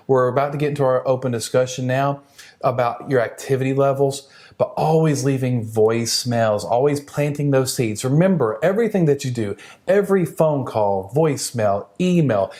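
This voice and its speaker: A man's voice: medium pitch, moderate pace, mellow. A man coaching a sales team in a conversational training style, speaking directly to the listener with encouraging emphasis.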